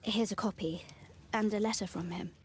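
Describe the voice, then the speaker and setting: With slight noise behind her, an actress plays a young British lady of Victorian times in a video game. She speaks earnestly and formally at a middling pitch, a polite but anxious client seeking help. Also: fairly even intonation, moderate pace, natural voice. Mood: earnest, discreet. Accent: British English. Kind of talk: video game dialogue acting.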